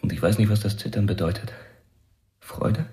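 An actor playing a fearful, fragile young musician in hiding, halting and introspective, in a radio drama. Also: male voice, lowish voice, steady tone, dark tone, natural voice. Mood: nervous wonder. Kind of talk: German radio drama acting, inner monologue.

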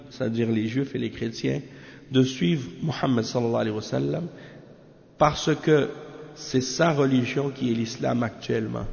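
Gravelly man with a cold, halting. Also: mid-range voice, a little mellow. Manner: halting, many restarts and fillers. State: has a cold. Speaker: translator of a religious commentary. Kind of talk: reading Arabic text, then explaining in French. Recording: muffled roomy lo-fi recording.

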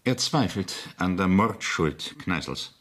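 An actor playing a deferential, formal state official advising royalty in a German radio play, husky and insinuating. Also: male voice, deep voice, lively intonation, slow pace, heavily acted.

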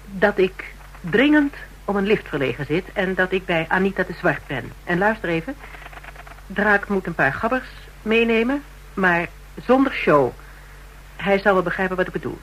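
Radio play lead: sardonic, controlled man under pressure, fairly high voice, dry probing delivery, lightly hissy tape. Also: lively intonation, normal speed, fairly bright tone, poor audio. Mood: urgent, controlled. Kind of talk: Dutch radio drama acting. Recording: hissy, humming radio drama recording.

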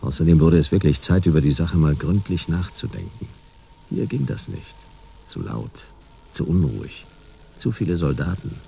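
An actor playing a laconic hard-boiled detective, narrating a German sci-fi radio play in clipped phrases. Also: male voice, low-pitched, lively intonation, normal speed, vocal fry, warm tone, a little hiss or hum. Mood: weary.

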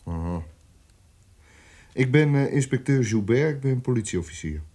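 A man acts out a police inspector in a radio play, smooth and menacing. He questions with condescending insistence and deliberate pauses. His middle-pitched voice moves widely between quiet pressure and command. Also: animated, lightly acted. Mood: cool, pressing. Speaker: police interrogator. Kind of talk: radio drama acting.

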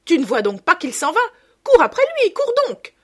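Woman playing a loud, bossy provincial mother. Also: on the high side, very animated, moderate pace, voice pushed up. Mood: urgent.

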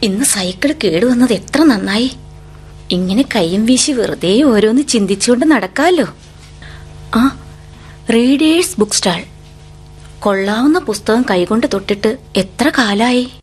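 An actress playing a bookish, wistful young woman with quiet defiance, in an introspective, dramatic radio drama style. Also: on the high side, normal speed, pauses often, slight background noise, natural voice. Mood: wistful musing. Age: young adult. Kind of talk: radio drama acting with monologue and poetic recitation.

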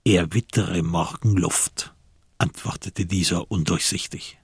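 A man narrates a radio drama in measured literary prose. His voice sits low and has a husky grain. The recording is dry and close. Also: fairly even intonation, no room sound.

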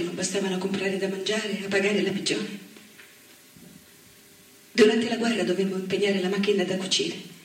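A woman acting in a theatre monologue, playing a grown woman who was raised by her seamstress mother, speaking softly and reflectively. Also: medium pitch, slight background noise, close to an everyday voice. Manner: reflective storytelling, rising to firm declaration. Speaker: stage actress. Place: theatre stage. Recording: echoey stage recording from far off.

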